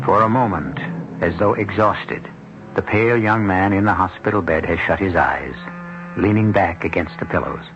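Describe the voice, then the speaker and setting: A man hosting and narrating an old radio mystery in a deliberate, portentous scripted style. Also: deep voice. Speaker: host and narrator. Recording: dull vintage radio recording with music.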